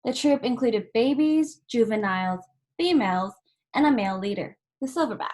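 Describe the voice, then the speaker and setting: A woman reading a children's picture book aloud as a volunteer story reader, in measured phrases with pauses between them. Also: fairly high pitch, animated, a little mellow.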